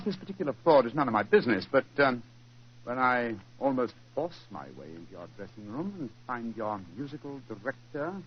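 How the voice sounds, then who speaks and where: An actor in a 1940s radio drama playing a shrewd, self-assured British gentleman detective, crisp and courteous in delivery. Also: medium pitch, lightly acted. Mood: coolly accusing. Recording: dull, noisy 1940s radio recording.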